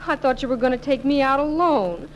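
A woman's voice: high voice, fairly quick, natural voice. An actress playing a naive, guileless young woman in 1940s radio comedy. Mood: disappointed. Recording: noisy vintage radio recording.

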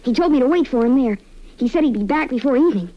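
A woman's voice: sits high, lively intonation, quick pace. A woman playing an anxious, earnest young boy sidekick in an old-time radio serial. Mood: earnest, worried. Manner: earnest, urgent. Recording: dull old radio recording.

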